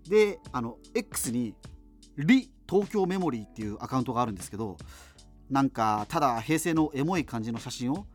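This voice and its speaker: Actor as male radio host, wry, nostalgic, rambling monologue, animated intonation, soft music behind, radio drama. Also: mid-range voice, fairly quick, natural voice.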